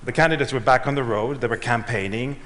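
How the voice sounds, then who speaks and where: A middle-aged man giving a prepared talk on stage, telling a measured story and letting his lines trail off. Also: medium pitch, a bit bright. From Switzerland and Ghana. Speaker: speaker. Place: large auditorium. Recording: reverberant hall.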